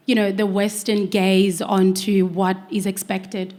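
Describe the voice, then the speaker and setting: A woman panelist speaks in an even, flat tone. She is earnest and hesitant and keeps restarting her sentences. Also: mid-range voice, unhurried. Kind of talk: panel discussion.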